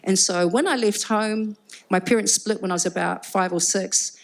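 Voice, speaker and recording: A woman's voice: medium pitch, normal speed, vocal fry, low-quality recording. A middle-aged woman with a New Zealand accent, first language Cook Islands Māori, speaking candidly and reflectively as a panel guest before a live audience. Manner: forthright, reflective, frequent "um" and restarts.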